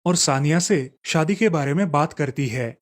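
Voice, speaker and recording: A man's voice: a little high, normal speed. A man narrating a scripted children's story.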